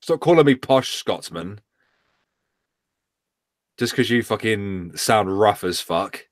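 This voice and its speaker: A man co-hosts a casual livestream, teasing and joking with a mid-pitched voice that swings up and down a lot. The sound is close and dry. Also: lively intonation, slow, dry recording. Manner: teasing, jokey. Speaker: co-host.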